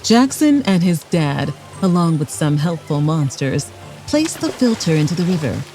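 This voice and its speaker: A woman's voice: sits low, sing-song, normal speed, a little mellow, faint music behind. A woman reading a scripted children's story aloud as narrator, expressively, for young children.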